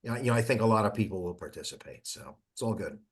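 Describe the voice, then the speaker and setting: A man giving an informal update on an online call in a halting, conversational way. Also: fairly low pitch, animated, breathy edge. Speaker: meeting participant giving updates. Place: online teleconference.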